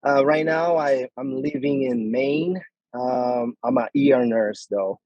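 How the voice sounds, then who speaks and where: A man from Brazil, an ER nurse, introducing himself in a friendly, slightly halting way. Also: mid-range voice, darkish tone, dry recording. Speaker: ER nurse, interview guest. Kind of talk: casual interview answers. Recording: dull, lo-fi sound.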